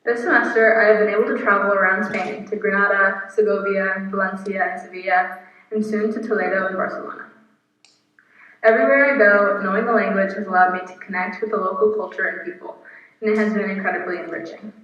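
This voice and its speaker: Young woman guest speaker, earnest and steady, far from the mic, muffled, very roomy. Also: medium pitch, a little fast. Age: 20s. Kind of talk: reading a prepared speech. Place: apartment room. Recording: echoey, distant, muffled video recording.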